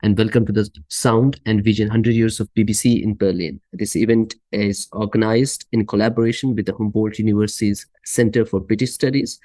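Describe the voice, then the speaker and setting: A man hosting a podcast asks polite, halting questions full of fillers. His voice is mellow and dark, and the recording is dry and close. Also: lowish voice, steady tone, dry recording. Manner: hesitant, many fillers, polite. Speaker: podcast host. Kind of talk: interview questions.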